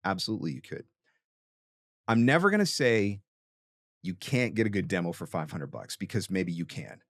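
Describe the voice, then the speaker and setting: A man with a deep voice in a very dry recording. He talks conversationally as a host and coach, laying out advice with emphasis and encouragement. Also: expressive, normal speed, a bit bright, dry recording.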